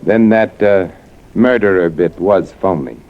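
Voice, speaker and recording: An actor playing a hard-boiled, cynical newspaper columnist in a 1940s radio noir, curt and sardonic. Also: male voice, low voice, unhurried. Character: hard-boiled, cynical male newspaper columnist narrating his own nightmare. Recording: dull old radio recording, music behind.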